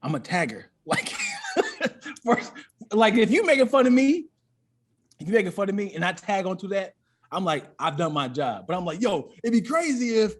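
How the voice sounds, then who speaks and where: A man's voice: high voice, lively intonation, normal speed, long pauses. An American man talking casually as a comic guest, telling stories in a self-deprecating, joking way and repeating phrases as he builds a bit. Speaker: stand-up comic and podcast guest. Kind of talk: casual comedic storytelling.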